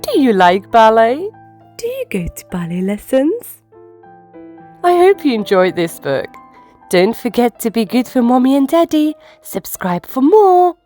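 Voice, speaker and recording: A woman reading a children's storybook aloud in a gentle, sing-song storytelling manner. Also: fairly high pitch, very animated, a little slow. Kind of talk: storybook read-aloud for kids. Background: soft piano music.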